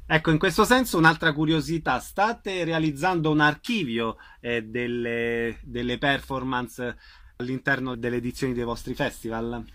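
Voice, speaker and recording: A man's voice: mid-range voice, normal speed, pushed and bright, no echo, studio quality. A man asking questions as an interviewer in a conversational style.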